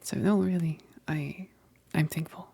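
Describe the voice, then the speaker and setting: A woman's voice: sits low, steady tone, warm tone, no echo, exaggerated voice. A woman playing an affectionate, self-conscious vampire best friend in an intimate ASMR roleplay, whispering hesitantly and tenderly, close to the mic. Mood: hesitant, grateful. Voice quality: breathy, whispery, creaky. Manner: slow, hesitant, halting, tender. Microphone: close, dry microphone.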